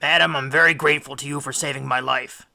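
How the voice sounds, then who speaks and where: A man's voice: mid-range voice, steady tone, fast, vocal fry, bright, forceful tone. A man voicing a weary older Greek hero, courteous but proud, with formal, declamatory speech in a storybook. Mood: polite, firm.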